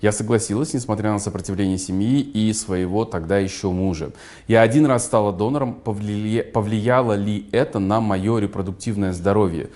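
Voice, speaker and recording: A man's voice: lowish voice, normal speed, a little mellow. A man hosting an interview, giving short murmurs of agreement and reading viewers' questions aloud. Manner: brief listening murmurs, reads questions aloud. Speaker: interview host.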